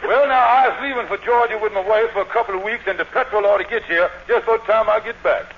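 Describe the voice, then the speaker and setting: High-voiced, blustering radio con man. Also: quick pace. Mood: slick. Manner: smooth-talking, blustering salesman patter. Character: scheming fast-talking lodge con man. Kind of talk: 1940s radio comedy acting. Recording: dull, noisy old radio recording.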